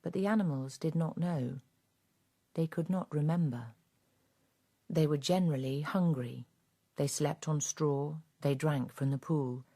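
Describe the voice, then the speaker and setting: A woman narrating a radio drama as a grave, measured storyteller of a farm fable. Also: sits low, dark tone. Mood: sombre.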